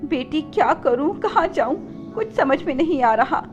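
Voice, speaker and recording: A woman voicing an earnest, gentle mother bird who pleads for help in a cartoon. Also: sits high, expressive, normal speed, lo-fi sound, soft background music, voice pushed up. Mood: consoling, worried.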